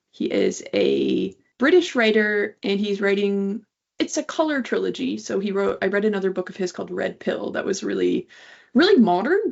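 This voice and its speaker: Creaky-voiced woman hosting a casual interview. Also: medium pitch, darkish tone, dull audio. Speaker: interview host.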